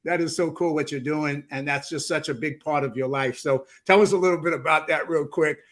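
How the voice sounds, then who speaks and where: A man's voice: a little high, fairly even intonation, brisk, fairly bright tone. A man hosting a podcast interview, teasing his guest in a playful, chatty way with many restarts. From New York. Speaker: podcast host. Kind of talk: casual interview chat.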